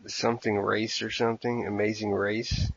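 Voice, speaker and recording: A man's voice: lowish voice, little pitch movement, normal speed, creaky. A man co-hosting a podcast, teasing his partner bluntly and swearing in casual banter. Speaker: podcast co-host. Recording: dry, dull lo-fi podcast audio.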